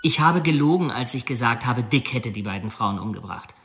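Young prisoner owning up, remorseful, middle-pitched voice, radio play. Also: male voice, lively intonation, brisk, fairly bright tone. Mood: remorseful, earnest. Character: remorseful young male prisoner confessing a lie. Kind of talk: documentary radio drama monologue.

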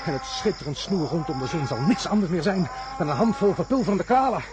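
Frantic stranded astronaut, radio drama. High-set, wildly swinging voice, obsessive raving, noisy insect-filled jungle. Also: male voice, sing-song, brisk. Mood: mounting obsession. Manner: obsessive, raving, repeats himself. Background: jungle crickets and insects.

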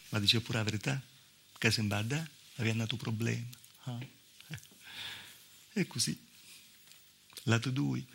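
An actor playing a wry, plaintive Calabrian village mother in a theatrical monologue, with a southern Italian accent and a halting, confiding, folksy delivery. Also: mid-range voice, creaky, pushed and bright, breathy edge, heavily acted. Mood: amused. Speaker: actor in a solo stage monologue. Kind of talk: theatrical storytelling monologue in dialect-inflected Italian. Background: live musical accompaniment.

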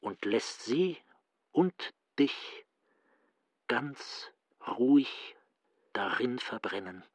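An actor in a radio play playing a grave, philosophical inner voice, speaking in a deliberate, emphatic, rhetorical way. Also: male voice, mid-range voice, lively intonation, no room sound. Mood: ominous. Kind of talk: radio drama acting, literary monologue.

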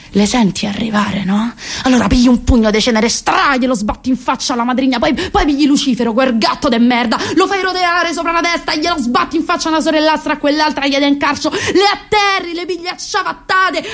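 A woman in her late twenties speaks in a fairly high voice with a Roman accent. She delivers a comic theatrical monologue in colloquial language, sarcastic and emphatic, throwing rhetorical questions as she goes. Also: fairly bright tone. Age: late 20s. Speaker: actress performing her own comic monologue.

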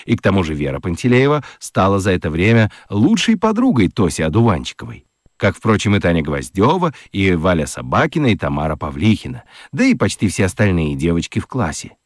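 A male narrator telling a children's story with gentle, highly expressive delivery. His fairly low voice swoops widely in pitch from phrase to phrase. The sound is dry and close, with no room around it. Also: brisk, no echo.

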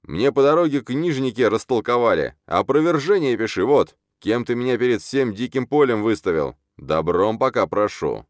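A man's voice: mid-range voice, expressive, moderate pace, few pauses, no room sound, studio quality, voice pushed up, lightly acted. A man voicing a hot-tempered nomad khan of the steppe, roaring and blustering, in a comic historical audiobook. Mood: indignant.

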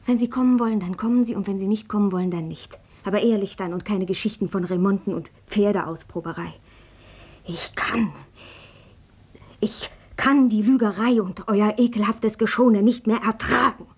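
Bitter sickly young woman in a radio play, breathy husky, worked up, proud. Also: mid-range voice, moderate pace. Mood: bitter, anguished. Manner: agitated, accusing, sharp shifts to hushed intensity. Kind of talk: dramatic German radio play acting.

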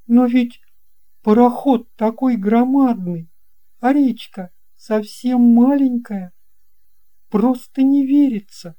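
A man's voice: extremely high pitch, takes it slowly, dark tone, voice pushed up. A man voicing a young boy in an audio fairy tale, earnest, eager and full of wonder. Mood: amazed disbelief. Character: young boy, wide-eyed, amazed and eager.

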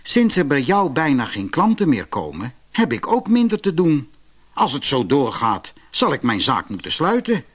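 An earnest, trusting storybook barber, voiced by a male narrator. He is a cautious working man with a husky voice and lively intonation. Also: on the high side, normal speed, heavily acted. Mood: worried. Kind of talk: storybook character voice.

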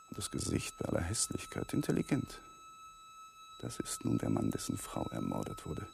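An actor in a radio play as a young, awkward lawyer, an evasive husband who placates and broods in inner monologue. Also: male voice, very high voice, creaky, background noise, heavily acted. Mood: musing.

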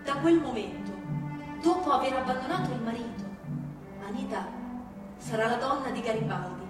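Echoey, high-pitched woman reading dramatically. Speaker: stage reader. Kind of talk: theatrical dramatic reading with music concert. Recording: very echoey, distant, clearly noisy live recording.

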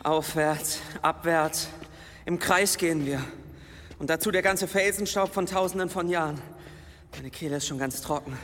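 A man's voice: a little high, normal speed, fairly bright tone, natural voice. An actor playing a complaining, reckless boy in a radio play, sometimes straining with effort. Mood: grumbling. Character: grumbling, reckless, thirsty boy from the seaside. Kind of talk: dramatic radio play acting. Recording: reverberant cave effect, noisy water sounds.

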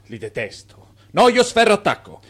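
A man's voice: high voice, sing-song, moderate pace, a bit bright, full character voice. An actor in a radio play playing an irate, blustering furniture seller demanding his money, very emphatic. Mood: angry. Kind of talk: theatrical radio play dialogue.

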